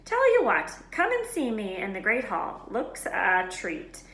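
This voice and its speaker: A woman's voice: medium pitch, wildly swooping pitch, a little slow, fairly bright tone, roomy sound, voice pushed up, heavily acted. A woman voicing a huge, friendly, blunt gamekeeper man with hearty, rustic speech in a storybook reading. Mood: hearty, teasing.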